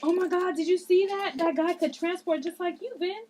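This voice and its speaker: A woman's voice: very high-pitched, normal speed, darkish tone, a little hiss or hum. A woman playing a plucky, informal young heroine in a table read of a drama script. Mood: amazed, curious.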